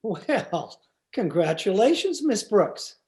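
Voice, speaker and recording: A woman's voice: mid-range voice, very animated, slow, darkish tone. A woman playing an earnest, pedantic, mild-mannered male science teacher in a 1950s-style radio comedy, speaking hesitantly. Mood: polite.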